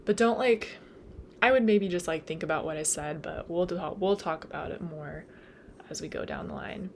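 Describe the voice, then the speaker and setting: Young woman, low voice, hoarse from losing her voice, chatty tutor, faint hiss behind. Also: normal speed, darkish tone. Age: young adult. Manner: chatty, lots of "like" and "um", laughs at own slips. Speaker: nursing student tutor. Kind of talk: casual study lecture.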